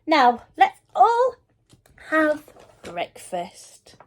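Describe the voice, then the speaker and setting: A plucky old grandmother from a storybook, high and quavering, as a woman reading aloud puts her on. She speaks slowly with lively energy. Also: highs cut off, full character voice.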